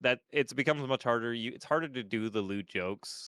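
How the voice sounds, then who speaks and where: A man's voice: mid-range voice, moderate pace, fairly bright tone, clean tone, dry recording, clean studio sound. A young man with a stuffed-up nose, chatting on a podcast in a rambling way, with lots of restarts and casual swearing. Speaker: podcast host.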